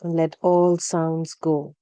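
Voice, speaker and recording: A woman guides a meditation in a calm, gentle manner. Her voice sits a little low and is very mellow and warm, captured dry and close without room sound. She gives short instructions without hurry and leaves generous pauses between them. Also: smooth, dry recording. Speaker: yoga nidra guide.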